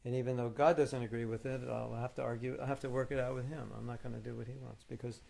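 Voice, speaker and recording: A man's voice: medium pitch, level tone, fast, gravelly, mellow, slight background noise. A man leading a question and answer session as a spiritual teacher, arguing his point firmly and patiently. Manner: firm, reasoning, argumentative. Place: meeting room.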